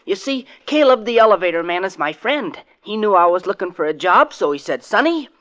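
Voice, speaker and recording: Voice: medium pitch, very animated, a little fast, a bit bright. An actor playing a plucky, cheeky young boy assistant in a 1940s radio play, polite but brash and sure of himself. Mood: brisk, confident. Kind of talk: 1940s radio comedy-mystery acting.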